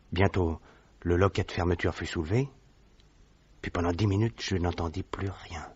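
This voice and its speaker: A man's voice: sits low, a little mellow, husky. An actor playing a weak, nervous young man recovering from illness, earnestly recounting a frightening night in an old radio drama. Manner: anxious, earnest storytelling. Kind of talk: vintage radio drama acting. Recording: dull lo-fi old radio recording.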